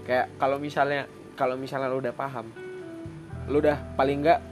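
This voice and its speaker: A man's voice: mid-range voice, brisk. Young man from Papua, slangy easygoing podcast co-host, music playing behind. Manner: slangy, animated when mimicking accents. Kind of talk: casual chat.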